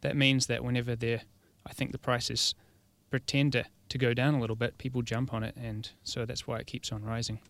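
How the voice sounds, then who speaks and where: A Kiwi man talks casually as a radio guest. His voice sits at a middle pitch, recorded close and dry with no room around it. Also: little pitch movement, fairly quick, gravelly, few pauses, a bit bright, dry recording. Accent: New Zealand. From New Zealand. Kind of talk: casual chat.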